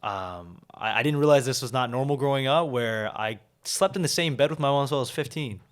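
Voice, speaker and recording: A young man from South Korea, a podcast guest, talking in a relaxed way with lots of "like" and "um" fillers. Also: medium pitch, fairly quick, clean tone. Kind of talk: casual interview talk.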